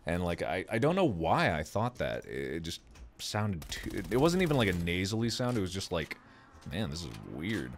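A man's voice: sits low, sing-song, moderate pace, a little hiss or hum. A man playing along on voice chat, laid-back and teasing as he chats during a game. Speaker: co-player on voice chat. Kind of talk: casual gaming chat.

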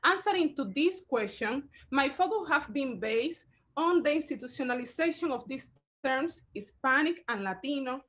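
A woman, a curator giving a measured online lecture over slides, pausing often with fillers. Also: high voice, expressive, a bit bright. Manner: measured, halting, with frequent fillers.

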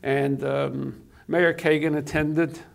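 A man's voice: on the high side, level tone, takes it slowly, gravelly. An older man from Poland, Yiddish his first language, reminiscing in a studio interview, hesitant with many fillers and restarts, on a lapel mic. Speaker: interview guest. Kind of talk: reminiscing conversation. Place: TV studio set.

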